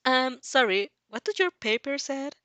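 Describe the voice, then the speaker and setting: A woman's voice: high-pitched, lively intonation, measured pace, bright, forceful tone, dry recording, pitched above the performer's own voice, heavily acted. Cheeky, mock-polite student played by a woman, teasing, dry close recording. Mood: taunting. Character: cocky, teasing student who needles her rival. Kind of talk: amateur audio fanfic acting.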